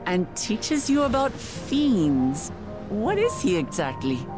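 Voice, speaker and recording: A kind young game heroine with an earnest, reassuring way of speaking. The pace is slow and deliberate, the pitch middling, and music plays clearly behind. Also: female voice, sing-song. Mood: curious. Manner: simple, sincere, deliberate phrasing. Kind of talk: video game voice acting.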